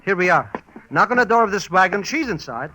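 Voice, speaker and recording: An actor playing an excitable, wisecracking male sidekick in an old radio adventure drama, slangy and theatrical. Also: sits high, fairly quick, noisy background. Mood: wry. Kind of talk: 1940s radio drama acting. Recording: old radio recording, music underneath.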